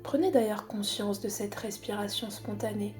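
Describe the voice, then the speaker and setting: A woman guiding a body scan meditation in a calm, unhurried, soothing manner with long pauses. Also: medium pitch, soft background music. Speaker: psychologist guiding a relaxation exercise.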